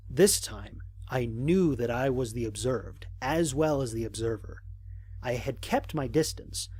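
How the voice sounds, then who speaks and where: A man playing an observant human space explorer, narrating scripted mission logs in a formal, measured, descriptive way with a slightly husky voice. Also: medium pitch, a little mellow, no room sound. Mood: measured, wary. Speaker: voice actor narrating an audio drama. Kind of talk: scripted first-person narration, mission log.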